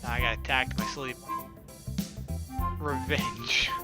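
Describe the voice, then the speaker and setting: A man's voice: mid-range voice, steady tone, takes it slowly. A man hosting a casual let's-play, reading game text aloud and adding joking, sarcastic asides. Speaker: gaming video host. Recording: game music behind the voice.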